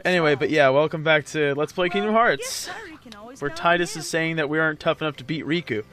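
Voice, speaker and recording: A man with a fairly high voice hosts a video game let's play. He talks casually and jokingly, as if to a friend alongside him. Faint music plays behind him. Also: brisk, fairly bright tone. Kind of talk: casual joking gameplay commentary with a co-commentator.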